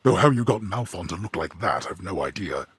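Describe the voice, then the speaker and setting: An actor playing a grim, imperious god of the dead and stern father in a video game, with a husky, gravelly voice and formal, archaic, menacing delivery. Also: low voice, big pitch swings, fairly quick, a bit bright, no echo, studio quality, heavily acted. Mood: stern menace. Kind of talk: theatrical video game villain acting.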